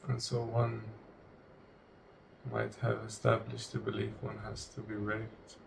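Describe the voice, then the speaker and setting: A man leading a guided meditation in a solemn, deliberate way, speaking in short, broken phrases. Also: on the low side, monotone. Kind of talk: guided meditation, spoken slowly and reflectively. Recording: distant mic with clear background noise.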